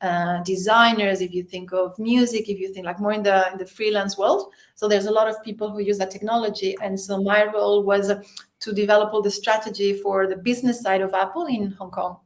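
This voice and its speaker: A woman's voice: mid-range voice, little pitch movement, a little fast. A woman born in Spain, Spanish her first language, chatting as a podcast guest, laughing often and restarting her sentences. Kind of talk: casual interview chat.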